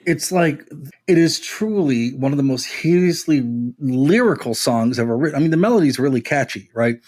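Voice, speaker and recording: A man's voice: a little high, expressive, a little fast, a little mellow. Wryly blunt man. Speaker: music podcast co-host. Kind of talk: casual opinionated music discussion.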